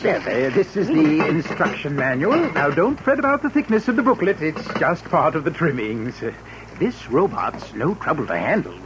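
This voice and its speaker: A man's voice: on the high side, big pitch swings, normal speed. An actor playing a glib, reassuring robot salesman with chatty patter, in old-time radio style. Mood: breezy, reassuring. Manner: chatty, smooth-talking patter. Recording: muffled, hissy vintage radio recording.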